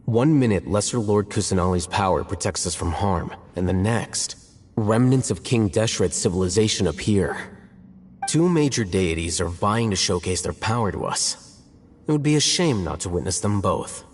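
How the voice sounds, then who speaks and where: An actor voicing an aloof, analytical male scholar in a video game, speaking in a calm, measured and dry way. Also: on the low side, husky. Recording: game audio with reverb and faint music.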